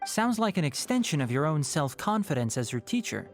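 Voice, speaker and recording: Dry, expressive young male scholar. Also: a little high, brisk, soft background music. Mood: wryly exasperated. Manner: articulate, dry, wry. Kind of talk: video game voice acting.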